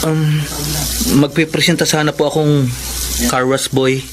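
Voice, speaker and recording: A man playing a humble, pleading twelve-year-old poor boy in a Filipino radio drama, polite and halting. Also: a little high, little pitch movement, background noise, lightly acted.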